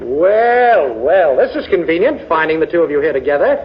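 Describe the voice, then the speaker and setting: An actor in a 1940s radio drama playing a suave, wisecracking amateur detective with a glib, teasing manner. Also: male voice, a little high, very animated, moderate pace. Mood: confident, accusing. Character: suave, wisecracking male amateur detective, cool under threat. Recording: muffled, noisy 1940s radio recording.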